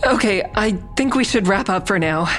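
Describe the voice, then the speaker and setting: A woman's voice: mid-range voice, expressive, normal speed, faint music behind, natural voice. An actress playing a guarded, uneasy female counselor who narrates her own story in an intimate, restrained audio drama style. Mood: guarded, professional.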